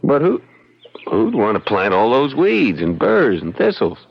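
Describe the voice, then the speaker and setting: A man playing a modest, self-deprecating young frontier lawyer in a radio drama, with a gravelly voice and halting, folksy, plain-spoken delivery. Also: mid-range voice, huge pitch swings, exaggerated voice. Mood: doubtful. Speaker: actor. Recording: muffled radio drama recording.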